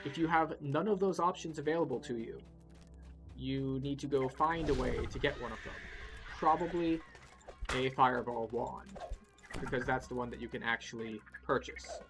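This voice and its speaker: A man's voice: medium pitch, normal speed, over music. A man giving step-by-step playthrough commentary as a video game guide, explaining things in an instructive way.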